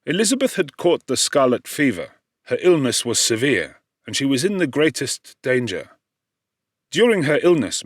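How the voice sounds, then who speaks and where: A man reading a classic novel as an audiobook narrator, in a solemn, measured storytelling manner. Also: medium pitch, no room sound, clean studio sound. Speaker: audiobook reader. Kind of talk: scripted literary narration.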